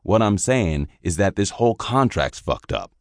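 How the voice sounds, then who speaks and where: A man voicing a gruff, foul-mouthed veteran army sergeant who drawls in slang. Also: low voice, sing-song, normal speed, no echo, studio quality, voice pushed up. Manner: crude, swearing, drawling slang.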